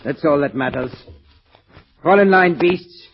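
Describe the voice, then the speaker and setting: An actor playing a sadistic, megalomaniac scientist villain in a pompous, theatrical 1940s radio thriller style. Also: male voice, a little high, unhurried, slight background noise. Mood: cruel, commanding. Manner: pompous, theatrical, relishing menace. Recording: dull lo-fi old-time radio recording.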